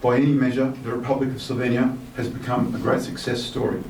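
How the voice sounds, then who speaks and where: A man in his fifties giving a speech, reading a message and adding personal remarks in a relaxed way with "um" fillers. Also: medium pitch, fast talker. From Australia. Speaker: guest speaker reading a minister's message. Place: large hotel reception hall. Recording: echoey hall from far off with faint noise.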